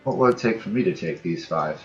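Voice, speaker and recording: A man's voice: low-pitched, expressive, brisk, muffled recording, soft background music. A man giving casual commentary on a strategy game, thinking aloud and muttering to himself in short fragments. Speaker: gamer, host of a let's play series.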